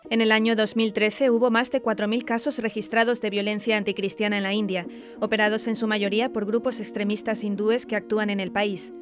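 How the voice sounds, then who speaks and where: Woman news anchor, music clearly behind. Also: mid-range voice, little pitch movement. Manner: formal, measured newsreading. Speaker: news presenter. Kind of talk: scripted news narration. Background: clearly audible background music.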